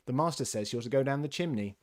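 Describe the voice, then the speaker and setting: A man voicing small rustic animals who bustle and bicker in a bossy, excitable way for a storybook. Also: mid-range voice, fast, voice pushed up, close to an everyday voice. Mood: bustling, bickering.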